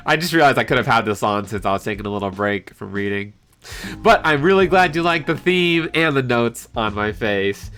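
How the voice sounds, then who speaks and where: A man chatting casually on a live stream in an animated, hesitant way, reading out and answering viewer comments. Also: medium pitch, normal speed. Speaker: livestreamer and amateur voice actor. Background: piano background music.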